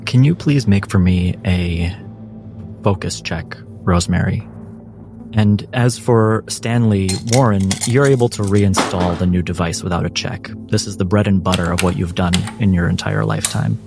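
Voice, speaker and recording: A man narrating a tabletop game as game master in a measured storytelling way. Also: low-pitched, creaky. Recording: music bed under narration.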